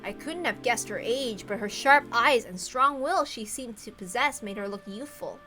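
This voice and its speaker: A woman reading a visual novel aloud as expressive scripted narration. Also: on the high side, moderate pace. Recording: music playing behind the voice. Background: background music.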